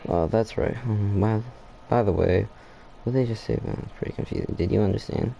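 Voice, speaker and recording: A young man comments casually on a game he is playing. The voice is low and dark, with a halting, rambling delivery as he thinks aloud. Faint noise sits behind it. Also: creaky, dull audio. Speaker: gaming video host.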